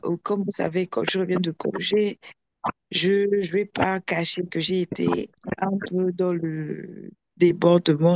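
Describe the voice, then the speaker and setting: Hoarse woman on a dull lo-fi call. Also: lowish voice, no echo. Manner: hesitant, many fillers and restarts. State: hoarse from flu and sore throat. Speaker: program participant. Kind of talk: asking a question on a group call. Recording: dull, dry lo-fi audio.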